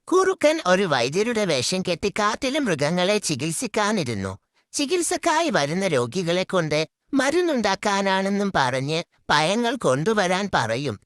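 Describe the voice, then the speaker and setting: Woman, children's story narrator, medium pitch, highly animated intonation, scripted delivery. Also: a little slow, dry recording, clean studio sound.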